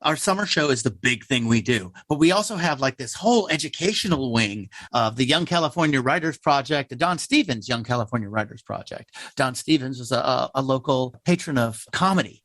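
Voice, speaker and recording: A man's voice: medium pitch, animated, brisk, few pauses, fairly bright tone, dry recording. A man who works as a playwright in a theater troupe, explaining things casually in an interview.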